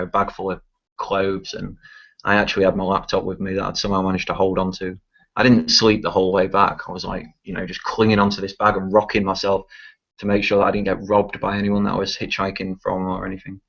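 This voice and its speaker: Rambling young Manchester man, flat low voice. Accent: Manchester English. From England. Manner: rambling and laughing, swears freely. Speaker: guest telling a travel story. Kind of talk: casual chat on a live stream. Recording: muffled low-fidelity stream audio.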